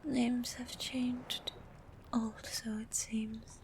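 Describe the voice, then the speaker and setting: A woman voicing a vain, flirtatious sea queen monster in an ASMR roleplay, soft and half-whispered, with a regal, teasing manner. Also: a little high, little pitch movement, slight background noise. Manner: regal, teasing, slow and coaxing.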